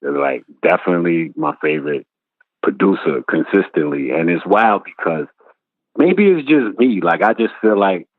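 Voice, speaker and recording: Very deep new rapper guy, slangy laid back, sounds like a phone line, dry. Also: expressive, normal speed, no room sound. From Brooklyn, New York. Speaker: rapper guest. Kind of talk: casual interview chat.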